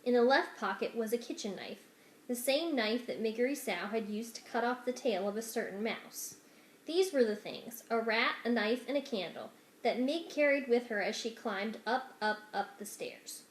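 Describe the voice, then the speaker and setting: A woman reading a children's novel aloud as expressive storytelling narration. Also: a little high, moderate pace, slight background noise. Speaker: story reader. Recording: roomy indoor echo.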